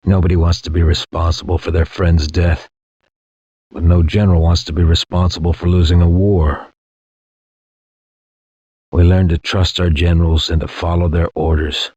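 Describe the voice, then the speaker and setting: Husky, very deep, somber veteran soldier. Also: male voice, little pitch movement, warm tone, no echo. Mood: grave, reflective. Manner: grave, measured, reflective. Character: somber veteran soldier recalling a war on Mars. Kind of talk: scripted dramatic narration. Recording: muffled, lo-fi game audio.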